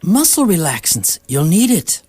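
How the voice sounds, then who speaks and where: A woman playing a brisk, insistent, deadpan alien posing as a human friend in a radio comedy, with a husky voice. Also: on the low side, heavily acted. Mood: matter-of-fact. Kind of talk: radio comedy drama acting.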